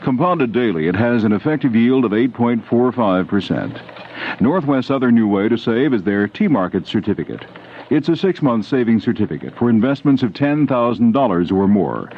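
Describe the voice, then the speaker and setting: Animated male radio commercial announcer. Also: medium pitch. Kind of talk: scripted radio commercial read. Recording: dull, noisy old radio broadcast.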